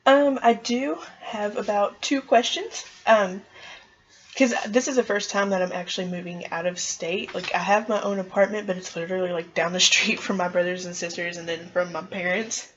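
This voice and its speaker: A woman's voice: mid-range voice, steady tone, moderate pace, fairly bright tone, dull audio, a little hiss or hum. A young woman chatting eagerly and casually as an applicant answering questions in a job interview. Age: young adult.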